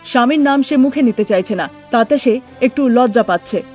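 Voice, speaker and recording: A woman's voice: fairly high pitch, moderate pace, darkish tone, soft background music, lightly acted. A woman voicing a formal TV news anchor announcing breaking news in a cartoon.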